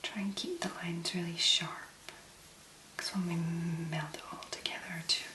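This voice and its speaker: A woman speaking very softly and breathily, in a gentle, unhurried ASMR make-up tutorial style. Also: lowish voice, fairly even intonation, echoey room, a little hiss or hum. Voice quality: breathy, whispery. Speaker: ASMR video creator.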